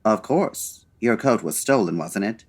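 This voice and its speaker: An actor playing a suave, wry 1940s gentleman detective in a comedic radio drama, with dry, urbane and formal delivery. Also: on the low side, lively intonation, unhurried, breathy edge. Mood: dry, composed. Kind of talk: comedic old-time radio drama acting.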